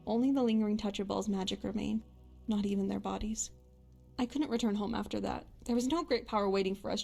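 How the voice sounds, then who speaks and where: A woman's voice: medium pitch, vocal fry, darkish tone. An actress voicing a young woman adventurer and hunter, a guilt-haunted but bold companion in a fantasy game, speaking in a natural, conversational way. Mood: haunted, regretful. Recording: music under the voice.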